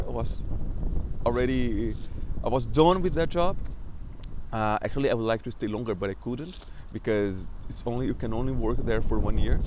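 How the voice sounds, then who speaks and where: Young Brazilian-accented man, stutters, mid-pitched, casual vlog talk, faint hiss under it. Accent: Brazilian Portuguese. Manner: stutters, with repetitions and restarts. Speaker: vlogger.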